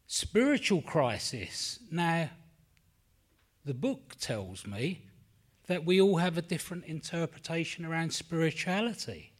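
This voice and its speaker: A man's voice: fairly high pitch, expressive, measured pace, breathy edge. A middle-aged man from London with a London accent, sharing his story at a recovery meeting in a rambling, candid way, swearing freely and admitting he is nervous. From England. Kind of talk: personal share at a support meeting. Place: meeting room.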